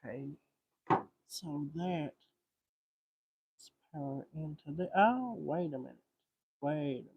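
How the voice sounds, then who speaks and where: A man's voice: on the high side, lively intonation, unhurried, muffled recording, a little hiss or hum. A man thinking aloud in short muttered fragments, giving casual live commentary as he does repair work. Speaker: livestream host repairing a vintage radio.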